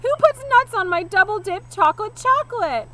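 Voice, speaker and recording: A woman voicing a fussy, hot-tempered, orderly man in a children's storybook, exacting and quick to snap. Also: very high voice, animated, a bit bright, voice pushed up. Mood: outraged. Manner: exacting, snappish, exclaiming. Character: Even Steven, fussy, hot-tempered, orderly male cousin. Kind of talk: children's storybook read-aloud.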